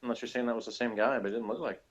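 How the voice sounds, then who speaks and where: A dull, lo-fi recording of a man with a mid-pitched voice. He chats casually as a co-host on a review show, offhand and a little sardonic in how he puts things. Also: steady tone, very fast. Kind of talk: casual chat.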